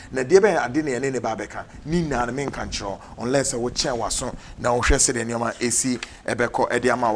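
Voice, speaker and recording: A man with a Ghanaian English accent hosting a TV talk show, mixing English and Twi in brisk announcements. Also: mid-range voice, a little hiss or hum. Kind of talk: TV political talk show, closing with ads. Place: TV studio.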